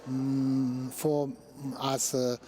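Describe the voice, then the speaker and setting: An older man who is learning English as a second language, speaking haltingly with many pauses. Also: mid-range voice, little pitch movement, warm tone. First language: not English. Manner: halting, full of pauses and fillers. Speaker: student in an English class for seniors.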